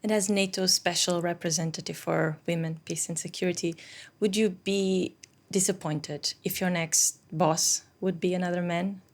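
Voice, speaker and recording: Lady interviewer, lowish voice, asks questions kinda carefully, rewords them midway. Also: fairly even intonation. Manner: measured, rephrases questions as she asks. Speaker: interview host.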